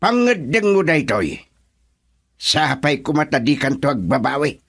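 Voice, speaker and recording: Actor as a stern, high-pitched Ilocano father. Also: big pitch swings, unhurried, a bit bright, slightly rough, full character voice. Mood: stern, challenging. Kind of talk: radio drama dialogue.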